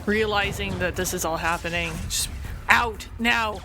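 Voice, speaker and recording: A woman's voice: mid-range voice, a little slow, background noise. A woman playing a tabletop role-playing game, speaking in character. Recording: loud music bed behind voice.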